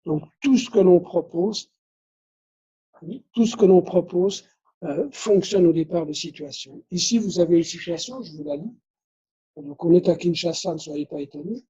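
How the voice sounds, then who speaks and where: A man's voice: high voice, moderate pace, mellow, husky, muffled recording. A man from Belgium whose first language is Dutch, giving a conference lecture, restarting phrases and adding tag questions. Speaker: conference speaker.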